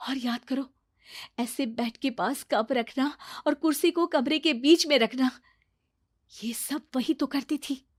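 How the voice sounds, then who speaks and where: Woman acting an earnest, high-pitched young cartoon woman. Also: measured pace. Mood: hushed conviction. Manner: emphatic, insistent. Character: earnest young woman who trusts a friendly ghost. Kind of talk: animated cartoon story acting.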